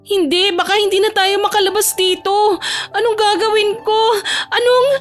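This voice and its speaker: A woman's voice: very high-pitched, steady tone, moderate pace, faint music behind, pitched above the performer's own voice. A woman with a Filipino accent voicing a timid, insecure, emotional young woman in an audio romance drama. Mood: panicked.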